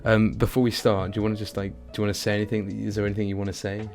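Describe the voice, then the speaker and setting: A young man with a deep voice hosts a casual podcast. His intonation stays level and laid-back, with soft guitar music playing behind him. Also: flat delivery, racing. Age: young adult. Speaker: podcast host. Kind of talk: casual podcast interview chat. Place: small dim room at home. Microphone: close desk microphone with headset.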